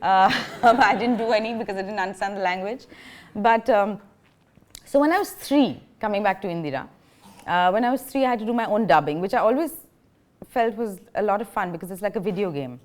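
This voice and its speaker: A woman's voice: mid-range voice, brisk. A woman in her thirties from India, whose first language is Bengali, giving a public lecture in a chatty, self-deprecating way, with frequent laughs, fillers and restarts. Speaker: guest speaker. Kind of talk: public lecture, personal anecdotes. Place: lecture hall.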